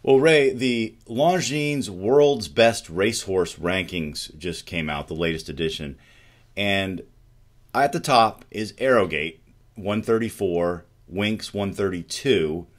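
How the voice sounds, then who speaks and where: Middle-aged male host, very low voice, conversational, talking over a video call. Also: takes it slowly. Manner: conversational, poses questions to co-host. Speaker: show host. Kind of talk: casual discussion show. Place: studio with dark backdrop.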